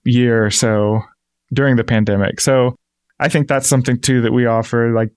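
A man's voice: medium pitch, steady tone, moderate pace, darkish tone, clean tone, dry recording, clean studio sound. A man, a shop co-owner, explaining things conversationally as an interview guest.

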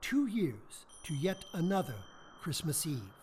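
A woman's voice: sits low, big pitch swings, measured pace, darkish tone, slight background noise. A woman giving scripted narration for a radio play.

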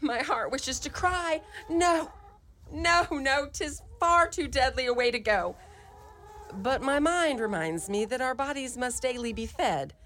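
An actress plays a sly, poor old mother from a storybook radio play. Her voice sits fairly high and moves dramatically up and down as she lays guilt on her son in old-fashioned phrases. The recording has noticeable background noise. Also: lively intonation, measured pace, a bit bright. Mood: pleading, sly. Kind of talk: live radio play acting. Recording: live studio recording, clearly noisy ambience.

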